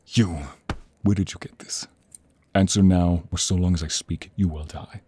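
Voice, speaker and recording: Man acting deep-voiced regal protective king, noisy backdrop. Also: steady tone, moderate pace, dark tone, natural voice. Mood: commanding, angry. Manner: formal, regal, authoritative. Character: commanding, protective young king, tender to his beloved. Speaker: voice actor in audio role play. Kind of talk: dramatic audio role-play acting.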